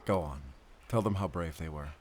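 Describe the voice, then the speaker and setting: Man playing a low-voiced, weary wolf hunter. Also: moderate pace, background noise, natural voice. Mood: weary, bittersweet. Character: weary, compassionate male lifelong wolf hunter. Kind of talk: cinematic audio roleplay acting.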